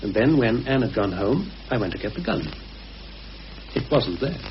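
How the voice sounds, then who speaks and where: An actor playing a cautious, anxious British conspirator in a radio play, speaking in a deliberate, hesitant, earnest way. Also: male voice, mid-range voice, lively intonation, natural voice. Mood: grave, uneasy. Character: anxious, cautious male conspirator confiding a grave suspicion. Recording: muffled, hissy old radio recording.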